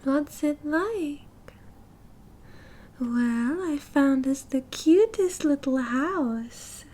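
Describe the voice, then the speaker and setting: A woman's voice: high voice, expressive, drawn-out words, mellow, full character voice. A British woman voicing a cheerful, possessive young yandere kidnapper in an ASMR roleplay, with a cutesy, doting manner. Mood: excited, doting. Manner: giggly, cutesy, doting, breezy. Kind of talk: ASMR roleplay, intimate one-sided conversation. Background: car driving sounds.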